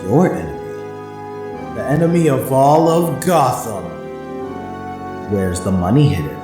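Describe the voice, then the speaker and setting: An actor playing a menacing masked villain in an audio drama, gravelly and theatrical in his threats. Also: mid-range voice, big pitch swings. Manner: slow, deliberate, theatrical threats. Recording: echoey, with loud music underneath. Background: dramatic music.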